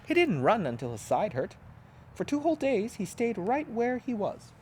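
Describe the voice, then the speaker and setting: Animated pastor reading a children's story. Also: male voice, high voice, moderate pace, a little hiss or hum. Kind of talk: children's storybook read-aloud.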